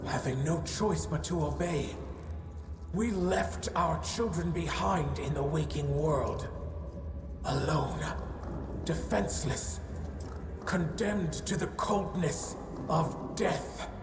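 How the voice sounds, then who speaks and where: An actress playing an ancient giantess in a fantasy game, solemn, grieving and fiercely maternal, speaking gravely and formally. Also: female voice, low voice, slow pace. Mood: mournful. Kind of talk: dramatic fantasy game dialogue. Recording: echoey voice over loud music.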